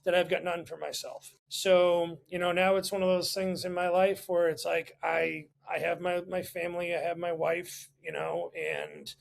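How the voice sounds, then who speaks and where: Man in his thirties, high voice, gravelly fry, casual podcast guest, candid storytelling, blunt, sweary. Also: little pitch movement, moderate pace, few pauses. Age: 30s. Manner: profane, blunt.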